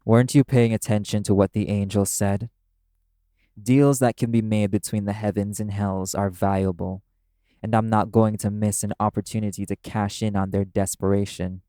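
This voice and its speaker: A brash male demon acted by a man in an audio roleplay. The voice sits low, with a mellow, dark tone. He is cynical and scornful, swearing bluntly at whoever he addresses. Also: level tone, normal speed, no room sound, studio quality, close to an everyday voice. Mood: cynical, dismissive.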